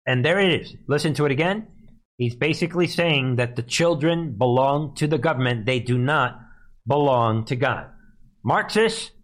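Man, live commentary host, mid-pitched voice, emphatic, scornful, repetitive ranting delivery. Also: lively intonation, moderate pace. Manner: emphatic, repeats phrases for effect; scornful, mocking. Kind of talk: live political rant and commentary.

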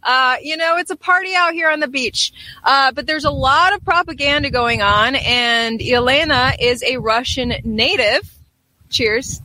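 A woman's voice: high voice, measured pace, pushed and bright, no echo, poor audio. A woman hosting a casual live chat show in an upbeat, informal way. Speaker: talk show host.

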